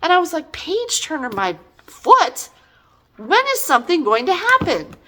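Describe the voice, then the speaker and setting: A woman's voice: high-pitched, wildly swooping pitch, a little slow, a bit bright. A middle-aged woman giving a casual, chatty book review in an exasperated, emphatic, conversational way.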